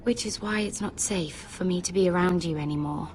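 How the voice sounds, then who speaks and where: A woman playing an anxious, reproachful young noblewoman at court in a fantasy video game, with a measured, pointed delivery. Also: on the low side, darkish tone, slight background noise, close to an everyday voice. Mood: firm, anxious.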